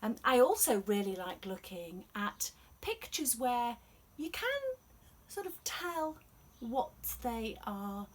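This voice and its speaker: A woman telling stories to children, explaining things gently in an unhurried, expressive read-aloud way. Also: a little high. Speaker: storyteller.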